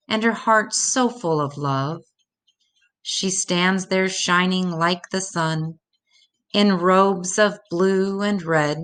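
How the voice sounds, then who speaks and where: Slow-reading older woman storyteller. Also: lowish voice, a bit bright. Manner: measured, deliberate read-aloud delivery. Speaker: storyteller reading a children's religious picture book aloud. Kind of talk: scripted children's story reading in rhymed verse.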